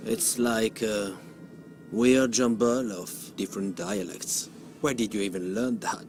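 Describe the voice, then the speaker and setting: An actor playing a relaxed foreign con man in an English anime dub who mocks the other man easily. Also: lowish voice, a little slow, a little hiss or hum, natural voice. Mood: mocking. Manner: mocking, relaxed. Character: smooth foreign male con man, mocking. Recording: dub clip with music underneath.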